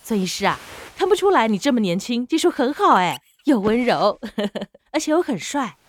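A woman's voice: a little high, sing-song, normal speed. An actress playing an effusive, nosy middle-aged female patient in a radio drama, chatty, with a Taiwanese Mandarin accent. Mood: gushing, nosy.

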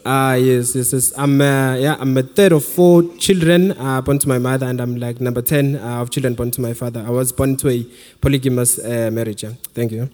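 A man's voice: medium pitch, fairly even intonation, fairly quick, few pauses, darkish tone. A man from KwaZulu-Natal introducing himself on a church panel, friendly and a little hesitant. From KwaZulu-Natal, South Africa. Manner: friendly, slightly hesitant, with fillers. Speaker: panel guest. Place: large church hall. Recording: long hall reverb.

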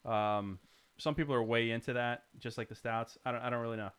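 A man's voice: medium pitch, fairly even intonation, a little fast, fairly bright tone, clean tone. A man chatting casually as a podcast host, with wry, teasing and sometimes profane banter. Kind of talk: casual banter, beer tasting chat.

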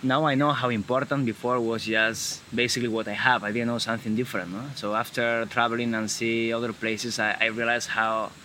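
A man from Galicia in Spain with a Spanish accent, talking in a casual conversation in halting English and often restarting his sentences. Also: mid-range voice, flat delivery, bright, forceful tone, a little hiss or hum, poor audio. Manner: halting, searching for words, frequent restarts.

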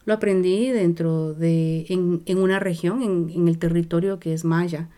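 Slow, hesitant Guatemalan woman, low voice. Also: fairly even intonation, mellow. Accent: Guatemalan Spanish. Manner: slow and searching, many hesitations and restarts. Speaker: interview guest. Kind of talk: reflective personal storytelling.

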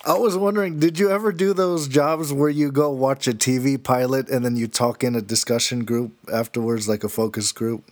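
A man's voice: medium pitch, fairly even intonation, brisk, few pauses, no room sound. A man hosting a podcast, asking casual questions and telling loose, rambling stories full of fillers. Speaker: podcast host.

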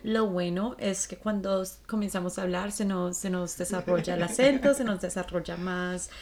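A woman's voice: fairly low pitch, moderate pace, crowd noise. A woman in her late twenties from Colombia, with a Colombian accent, talking casually as a podcast co-host.

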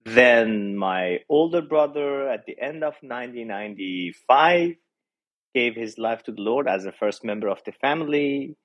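A man in his forties from Iran with a Persian accent, a pastor telling his personal story earnestly as a podcast guest, pausing often to find his words. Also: medium pitch, lively intonation, fairly bright tone. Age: 40s. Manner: earnest and reflective, with frequent hesitations. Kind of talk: personal testimony in an interview.

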